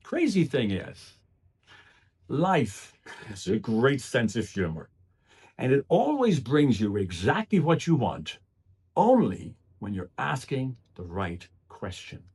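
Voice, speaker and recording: A man's voice: medium pitch, sing-song, takes it slowly, darkish tone, husky. A man delivering a motivational speech in an earnest, emphatic way, often posing rhetorical questions. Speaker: public speaker.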